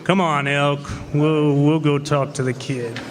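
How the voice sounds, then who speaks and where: A man's voice: fairly high pitch, steady tone, measured pace, close to an everyday voice. A man playing a sly cowboy outlaw and blackmailer in an old-time western radio drama. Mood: scheming, threatening. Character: Bogus, scheming blackmailing male cowboy outlaw and killer. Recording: echoey hall, live stage performance.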